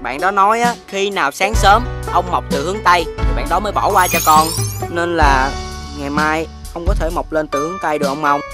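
A young, hesitant puppy voiced by a woman with a fairly low voice. The cartoon friend is naive and earnest, speaking in a Southern Vietnamese accent over background music. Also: brisk, natural voice. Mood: pleading. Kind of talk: animated children's cartoon acting.

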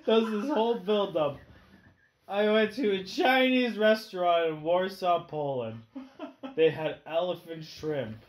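A man's voice: high voice, unhurried. A man co-hosting a podcast, teasing his partner with sarcastic, profane jokes. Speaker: podcast co-host. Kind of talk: casual chat. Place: home room with a dog. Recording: echoey room with faint noise.

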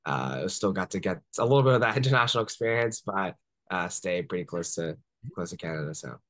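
Chatty young man, low dry voice. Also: animated, fast, a bit bright, dry recording. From born in Japan, raised in Washington DC area. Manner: chatty, many fillers like "uh" and "like", laughs easily. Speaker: startup founder guest. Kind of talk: casual interview storytelling. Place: home room on a video call.

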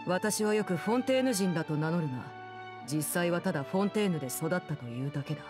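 An actress playing an aloof, commanding woman in a Japanese game, speaking in a composed, measured, formal way. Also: lowish voice, lively intonation, over music, close to an everyday voice. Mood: calm, guarded. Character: cool, commanding woman, aloof diplomat and stern guardian. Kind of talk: Japanese anime-game voice acting.

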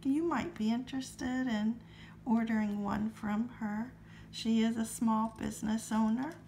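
Woman vlogger, high voice, unhurried, a bit hoarse, reads numbers aloud. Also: slight background noise, poor audio. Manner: friendly, calmly reads out numbers. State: slightly scratchy throat. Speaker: lottery ticket scratching vlogger. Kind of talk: casual to-camera chat while scratching tickets.

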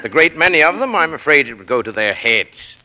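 Pompous boss: effusive, flowery, higher-pitched and very animated, vintage radio comedy. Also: male voice, brisk, full character voice, poor audio. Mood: patronizing. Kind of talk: 1940s radio acting. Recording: vintage 1940s radio broadcast.

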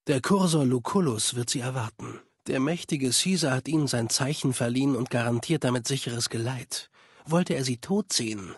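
A man voicing a cold, calculating spymaster of a legion in a video game, speaking in a formal, measured, condescending way. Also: mid-range voice, breathy edge, no room sound, clean studio sound. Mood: measured, cool. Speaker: game character voice actor. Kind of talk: dubbed video game dialogue.

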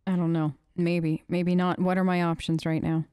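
A woman's voice: on the low side, level tone, normal speed, dark tone, smooth, no echo, studio quality. A woman gamer improvising casual role-play in an informal, tentative way, as if thinking aloud. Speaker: gamer role-playing her character. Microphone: close microphone, voice-over on gameplay.

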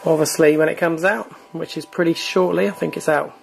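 A man's voice: fairly high pitch, fairly quick, vocal fry, a little hiss or hum. A man hosting a gaming channel, chatting casually with many fillers as he walks through a console's online store. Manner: relaxed, filler-heavy, chatty. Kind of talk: casual screen walkthrough.

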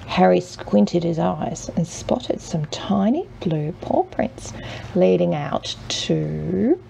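A woman with a heavily creaky voice reads a children's storybook slowly and expressively. She leaves dramatic pauses and speaks at a middling pitch. There is faint noise in the home recording. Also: lively intonation, low-quality recording. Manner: measured, with dramatic pauses and hushed drawn-out words. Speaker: mother reading a picture book aloud.

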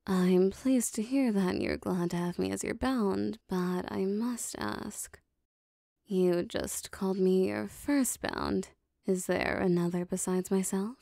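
A woman playing a powerful, possessive female demon devoted to her master, speaking formally and deliberately in an ASMR roleplay. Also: medium pitch, creaky, dark tone, no echo, clean studio sound. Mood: content, devoted. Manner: formal, deliberate, intimate phrasing.

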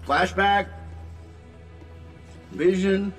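An actor playing a cocky, wisecracking armored superhero inventor in a video game, casual and sardonic. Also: male voice, sits high, steady tone, very slow, fairly bright tone, heavily acted. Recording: reverberant, faint music behind.

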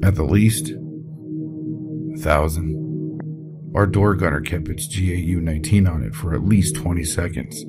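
Guy playing gruff army captain, real deep voice, rattled and sweary, audio drama w/ loud music under it. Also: lively intonation, measured pace, pauses often. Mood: bitter, agitated. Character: shaken, foul-mouthed military captain recounting losing his squad. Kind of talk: audio drama, interview log acting. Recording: voice over loud background music.

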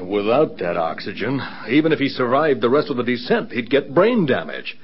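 A man's voice: mid-range voice, sing-song, moderate pace, breathy edge. A man playing an earnest control tower officer in a radio drama. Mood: earnest, awed. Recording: dull, noisy vintage radio recording.

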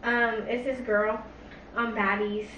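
A sassy young woman from Florida with a bright, sharp voice, chatting playfully in slang. The room is echoey, with the mic set back and a faint hiss. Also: fairly high pitch, level tone, takes it slowly, distant mic. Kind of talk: casual family Q&A. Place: indoor bedroom, on a couch.

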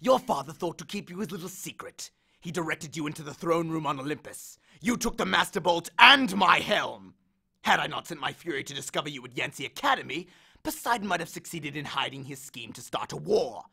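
Guy doing a ranting god of the dead, loud and high, gravelly bark, super animated. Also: a little fast, heavily acted. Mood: menacing. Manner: bellowing, complaining, menacing. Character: angry male god of the dead, grand and aggrieved. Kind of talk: audiobook character voice.

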